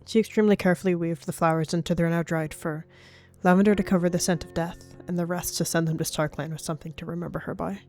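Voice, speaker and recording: Slightly low-voiced woman narrating drama. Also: steady tone, quick pace, darkish tone, soft background music. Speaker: storyteller. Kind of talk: dramatic third-person story narration.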